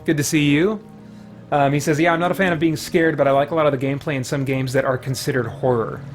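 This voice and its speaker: A man's voice: a little high, steady tone, fast talker, soft background music. A man hosting a video game livestream with casual, rambling commentary, breaking off mid-thought to react to the game and chat.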